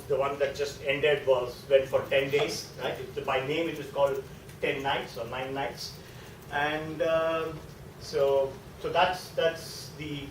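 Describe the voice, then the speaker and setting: Echoey, distant South Indian man lecturing. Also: a little high. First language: a South Indian language. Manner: conversational and explanatory, often checking in with a tag question. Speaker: guest lecturer. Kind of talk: informal classroom lecture. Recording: echoey room, distant mic, faint noise.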